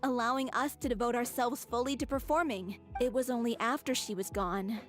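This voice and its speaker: A woman's voice: on the high side, fairly even intonation, brisk, soft background music, natural voice. A woman playing an earnest, caring young stage singer in a video game.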